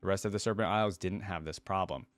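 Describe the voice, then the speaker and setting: Low-voiced man reading aloud, dry sound. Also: quick pace, no echo. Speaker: reaction video host. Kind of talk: reading story aloud with casual commentary.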